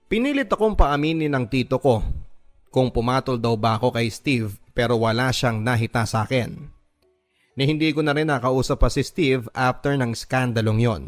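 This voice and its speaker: A Filipino man reading listener letters as a radio host, in an earnest, sentimental, dramatic storytelling style. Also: medium pitch. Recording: music behind the voice. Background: background music.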